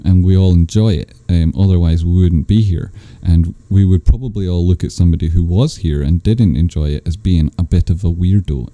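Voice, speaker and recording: Deep-voiced man chatting on a live stream. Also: dark tone, no echo. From Scotland. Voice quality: bassy voice. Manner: dry, teasing, rambling asides. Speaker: stock stream host. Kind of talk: live-stream chat reading comments. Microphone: desk microphone.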